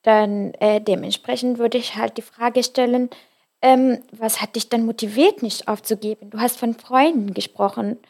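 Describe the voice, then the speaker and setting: A woman's voice: a little high, fairly even intonation, moderate pace, a little mellow. A woman interviewing a guest, asking friendly, encouraging questions. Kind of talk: casual interview.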